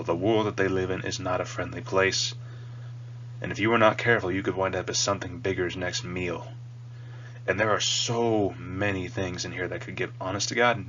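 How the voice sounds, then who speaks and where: A man with a low voice, a bit nasal and sniffly from a cold, hosts a casual review. He talks in an earnest, emphatic, wordy way. The recording is dull and lo-fi, with a faint hum behind him. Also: a bit bright. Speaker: video host reviewing a cartoon. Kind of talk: casual rambling review to the audience. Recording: dull lo-fi mic with audible hum.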